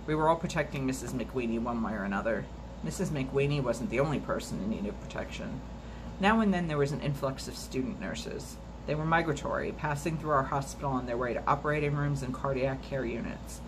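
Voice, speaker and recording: Woman reading aloud over background noise. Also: very deep voice. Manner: steady measured reading with occasional slips and restarts. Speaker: storytime reader.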